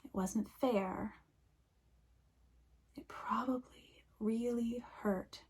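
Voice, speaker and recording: A woman minister giving a reflective sermon, speaking slowly and softly, often dropping to a near whisper. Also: on the high side, fairly even intonation, husky.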